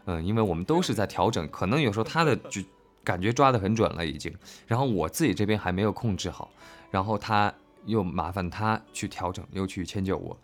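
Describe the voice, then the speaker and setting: A male voice actor talking casually and reflectively about his work, earnest and halting, often repeating his phrases. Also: low-pitched, expressive. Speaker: voice actor discussing an audio drama. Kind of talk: casual, reflective cast chat.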